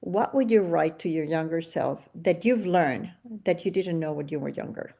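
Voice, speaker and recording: Low-pitched older woman podcast host. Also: normal speed, gravelly, mellow. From Venezuela. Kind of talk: casual interview chat.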